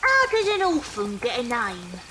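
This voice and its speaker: Wry tradesman's wife, high and lively, chatty, noisy background. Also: measured pace. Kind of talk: period audio drama acting.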